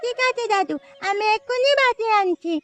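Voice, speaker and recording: A woman's voice: very high voice, animated, moderate pace. A woman voicing an eager, pleading little village girl with a childlike, whining manner in a cartoon. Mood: delighted. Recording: music under the voice.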